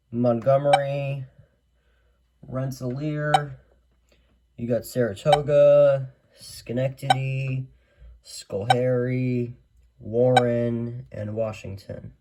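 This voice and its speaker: A man giving a casual, unscripted product demo in a rambling, hesitant way, often trailing off into "so yeah". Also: medium pitch. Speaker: hobbyist video maker. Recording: clearly noisy amateur home recording.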